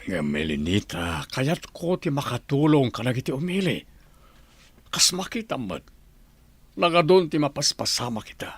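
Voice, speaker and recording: Radio drama role: principled middle-aged father and soldier, creaky voice, dry close recording. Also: mid-range voice, very animated, moderate pace, no room sound. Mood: pleading. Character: earnest father and soldier set on entering politics.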